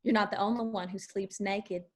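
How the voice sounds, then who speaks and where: A woman's voice: mid-range voice, fairly even intonation, moderate pace, lightly acted. A woman acting a spirited young female band musician in a screenplay table read, speaking casually and expressively. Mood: playful, flustered.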